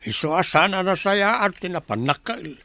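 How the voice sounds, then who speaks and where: Casting: admonishing Filipino villager, gravelly, on the higher side, dry radio drama sound. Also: male voice, lively intonation, normal speed, bright, forceful tone, dry recording, heavily acted. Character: sensible village man, scolding and moralizing. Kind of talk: Ilocano radio drama acting.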